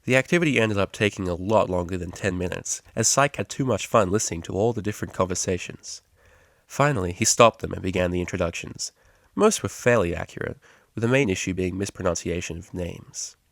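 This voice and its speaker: A man's voice: sits low, brisk, no echo, studio quality. A man reading a story aloud as scripted audiobook narration. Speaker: amateur audiobook reader.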